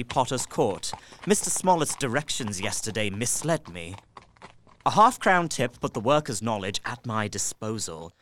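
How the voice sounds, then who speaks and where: A man's voice: mid-range voice, fairly bright tone, no echo, studio quality. An actor playing an earnest young solicitor and devoted husband, narrating his journal in an audio drama. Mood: weary, concerned.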